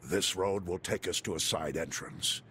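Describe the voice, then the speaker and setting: A man voicing a noble, calm male robot leader in a video game cutscene, speaking in a steady, formal, reassuring way with a deep, gravelly voice. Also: normal speed, slight background noise. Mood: resolute. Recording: game soundtrack music underneath.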